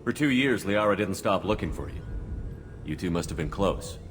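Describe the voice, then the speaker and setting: A man playing a steady, supportive spaceship commander in a video game, calm and direct. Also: sits low, normal speed, slight background noise. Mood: probing, sympathetic. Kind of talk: scripted video game voice acting. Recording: long reverb, music behind.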